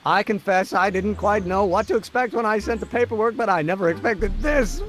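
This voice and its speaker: Man acting a high-pitched, wound-up young scientist. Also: fairly quick, a little hiss or hum, natural voice. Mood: excited, elated. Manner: intense, muttering to himself, exclamatory. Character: excitable, wound-up young male scientist inventor. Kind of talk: animated video game character acting.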